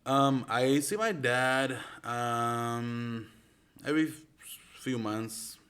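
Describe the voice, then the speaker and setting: A man as a podcast guest in casual chat, relaxed and joking, often trailing off into low mumbles. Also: extremely slow, clean tone.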